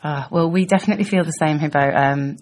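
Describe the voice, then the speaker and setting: A woman's voice: sits low, fast talker, a little mellow. A woman hosting a podcast, asking polite, hesitant questions with many ums. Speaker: podcast host. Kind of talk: podcast interview.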